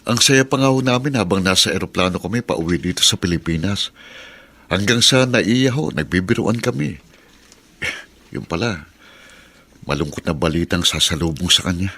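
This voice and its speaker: A man's voice: deep voice, lively intonation, moderate pace, pauses often, a bit bright, exaggerated voice. A man playing a concerned, respectful family friend in a radio drama, speaking politely and conversationally. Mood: sympathetic. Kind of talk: Tagalog radio drama acting.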